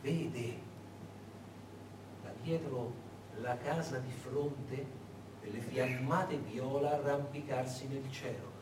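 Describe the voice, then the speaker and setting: A man narrating in dramatic theatrical style, deliberate and emphatic, with dramatic pauses. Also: mid-range voice, steady tone. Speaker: stage narrator and actor. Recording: echoey, noisy recording from far off.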